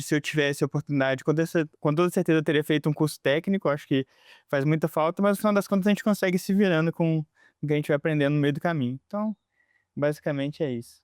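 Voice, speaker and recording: A man's voice: a little high, smooth, no room sound, studio quality. A man in his thirties with a mixed Minas Gerais accent, speaking reflectively as a podcast guest. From Minas Gerais, Brazil. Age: 30s. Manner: reflective, frequent tag questions. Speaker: guest, electrical engineer. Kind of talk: interview self-introduction.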